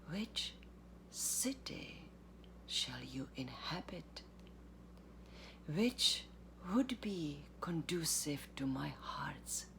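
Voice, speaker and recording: A woman giving a literary reading, with deliberate, measured phrasing, long pauses and a breathy, gravelly voice. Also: low-pitched, noisy background. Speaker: artistic director of a theatre, reading aloud.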